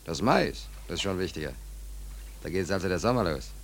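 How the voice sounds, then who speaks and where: An actor playing a down-and-out American sailor in a 1940s German radio play, weary, wry and stubborn, with colloquial, sardonic, rambling talk. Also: male voice, deep voice, expressive, a little fast, a little hiss or hum. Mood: wry, unbothered.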